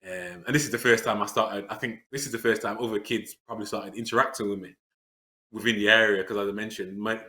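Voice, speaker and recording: A man, talking earnestly in an interview. His voice sits low but has a bright, forceful edge. He pauses on fillers and restarts his sentences often. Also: steady tone. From England. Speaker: interview guest. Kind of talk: reflective conversational interview.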